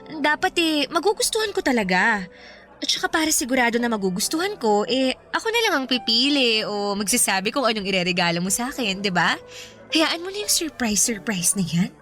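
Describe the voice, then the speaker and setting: A woman's voice: a little high, very animated, fairly quick, a bit bright. A woman playing a vain, demanding young girlfriend in a Filipino radio drama, with coy, whiny wheedling. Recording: music behind the voice.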